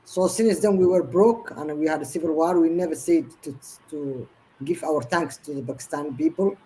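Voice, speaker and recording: A woman's voice: deep voice, normal speed, dark tone, slight background noise, rough recording. A woman in her fifties from Somalia, a guest in an online interview, telling her story earnestly in long run-on sentences. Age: 50s.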